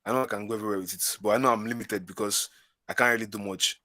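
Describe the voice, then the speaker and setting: A Nigerian man talks shop as a music producer, quick and a little low in pitch, and he doubles back on his words as he thinks. The sound is dry and close. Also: fairly even intonation, bright, forceful tone, dry recording. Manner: fast, repeats himself while thinking. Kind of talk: casual group chat.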